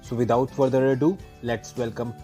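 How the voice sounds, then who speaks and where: Male host reading a speaker introduction, mellow tone, faint music behind. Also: mid-range voice, fairly even intonation. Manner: measured, reads a prepared introduction. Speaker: event host.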